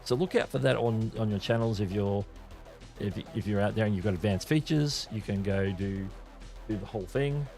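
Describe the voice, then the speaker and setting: Male live-stream host, lowish voice, rambling and casual. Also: moderate pace, a little mellow, faint music behind. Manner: rambling, many restarts and fillers; reads viewer chat aloud.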